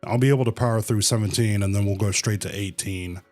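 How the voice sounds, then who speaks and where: A man livestreaming a video game with casual commentary, thinking aloud in a halting way. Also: fairly low pitch, a little mellow, faint music behind.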